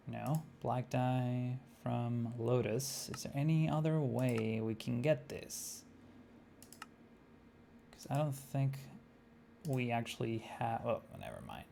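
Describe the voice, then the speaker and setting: A man's voice: mid-range voice, vocal fry, slight background noise. A young man from Argentina with an Argentine Spanish accent, live-streaming a game and chatting casually with viewers, thinking aloud with many fillers. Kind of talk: live-streamed gameplay chat.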